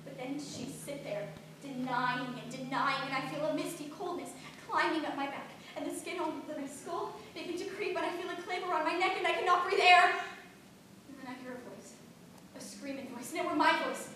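An actress playing a frightened but defiant eighteen-year-old servant girl, with halting repeats and old-fashioned rustic speech. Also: high-pitched, close to an everyday voice. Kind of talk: stage play performance. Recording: echoey, far-off stage audio with background noise.

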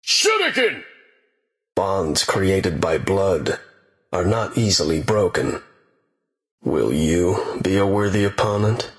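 An actor playing a stern, proud ninja assassin in a video game, speaking tersely and solemnly with dramatic weight. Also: male voice, low-pitched, huge pitch swings, slow pace, long pauses, exaggerated voice. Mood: fierce battle cry. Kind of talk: video game hero battle lines. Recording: echoing game voice clips with long reverb.

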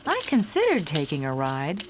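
A wary woman narrates a cartoon story. Her telling is matter-of-fact and skeptical yet lively in pitch, over clear noise. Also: moderate pace. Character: wary, reasoning woman recounting a strange invitation. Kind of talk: scripted storytelling narration for animated short.

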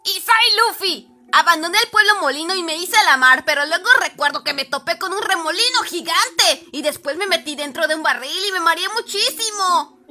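Woman voicing a very high, exuberant young pirate boy. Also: bright, forceful tone, full character voice. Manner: exuberant, easily amazed. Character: cheerful, naive, excitable young male pirate. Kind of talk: anime fandub acting.

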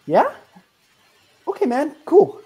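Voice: high-pitched, huge pitch swings, slow, dark tone, heavily acted. A young actor playing a sullen, laid-back schoolboy who loves hip-hop, in a sitcom table read over a video call. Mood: casual. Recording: noisy home video call with clicks.

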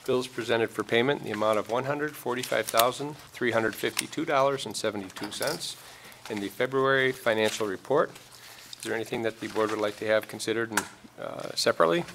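American man chairing, creaky voice, noisy room. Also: mid-range voice, brisk. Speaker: village board chair, mayor. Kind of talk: formal meeting procedure. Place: indoor village board meeting room. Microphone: desk microphone on the dais. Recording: clear background noise in meeting room.

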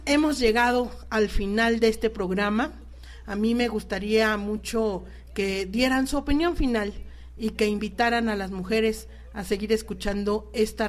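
Mexican lady hosting a live radio talk show, kinda high voice. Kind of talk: live radio talk, addressing guests and listeners.

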